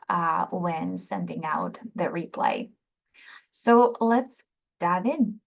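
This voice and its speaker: A middle-aged woman with a Czech accent, a psychologist giving a prepared webinar lecture in a measured, explanatory way, often starting with "so" and pausing on "um". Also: medium pitch. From Czech Republic.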